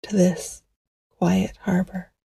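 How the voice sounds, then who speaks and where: A woman guides a meditation in a soothing, hushed voice that is strongly breathy and husky. Her pitch is fairly low with a gravelly creak at the edges. She leaves long pauses between her scripted phrases. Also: level tone, dry recording, studio quality.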